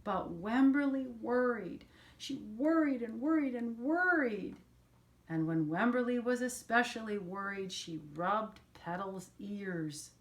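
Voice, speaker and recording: Slow-paced older woman reading a storybook. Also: on the high side. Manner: explains words and pictures to young listeners. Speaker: storyteller, grandmother reading to children. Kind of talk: children's picture book read-aloud with asides.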